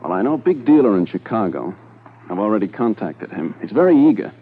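An actor playing a confident, persuasive crooked gold dealer in a 1950s radio drama, easygoing and colloquial. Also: male voice, fairly low pitch, sing-song, quick pace, slightly rough. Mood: persuasive, eager. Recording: narrow-band vintage 1950s radio recording.

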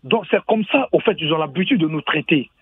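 A man's voice: on the high side, animated, fairly quick, slightly rough, dry recording. A man calling in to a radio show, stammering and repeating his words as he gives his view. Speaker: radio caller. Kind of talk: phone-in opinion. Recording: tinny phone line.

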